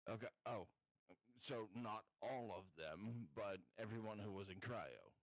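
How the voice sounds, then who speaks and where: A man's voice: fairly low pitch, lively intonation, unhurried, dry recording, low-quality recording. Joking man in a dry-sounding room. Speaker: tabletop game player. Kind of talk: casual joking game chat.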